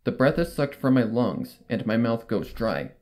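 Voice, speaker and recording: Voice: medium pitch, sing-song, moderate pace, a little mellow. A narrator reading a horror story aloud in a dramatic, first-person style. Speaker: fan fiction narrator.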